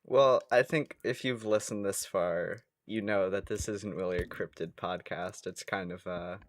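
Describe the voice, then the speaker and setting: A man's voice: sits low, fairly even intonation, measured pace. A man co-hosting a podcast in casual comedic banter, rambling along playfully. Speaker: podcast co-host.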